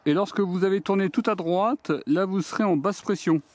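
A man's voice: high-pitched, moderate pace, heavy background noise. Man demoing a pressure washer outdoors. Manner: plain step-by-step explaining, addresses viewers directly. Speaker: DIY video host. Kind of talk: hands-on product demonstration. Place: outdoors on a garden deck.

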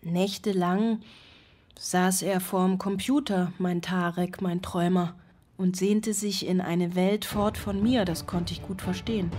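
A woman's voice: on the low side, fairly even intonation, a little slow, a little mellow, natural voice. An actress playing an anguished, middle-aged single mother in a German-style radio drama monologue, speaking intensely and poetically. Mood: grieving, tender. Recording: music behind the voice.

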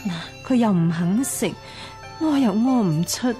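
Woman playing a mellow, blunt, practical wife. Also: mid-range voice, expressive, unhurried, soft background music, natural voice. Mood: concerned, admonishing. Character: practical, blunt first wife of rich man. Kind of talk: Cantonese radio drama acting.

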